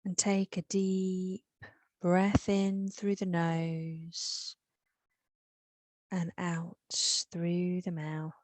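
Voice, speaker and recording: A woman with an English accent leading a guided meditation, soothing and unhurried, with long pauses. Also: on the low side, level tone, mellow, dry recording.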